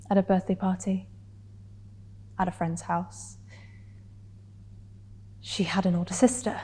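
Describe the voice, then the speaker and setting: A woman performing a dramatic stage monologue as a wounded, defiant young woman, deliberate and emphatically articulated with weighted pauses. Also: medium pitch, warm tone, natural voice. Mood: tender recollection.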